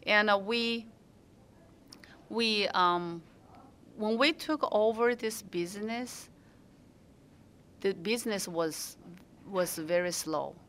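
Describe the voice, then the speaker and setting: Slow, halting woman with Mandarin accent. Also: medium pitch, fairly bright tone. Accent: Mandarin Chinese. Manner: halting, many fillers and restarts. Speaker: restaurant owner. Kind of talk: interview answers.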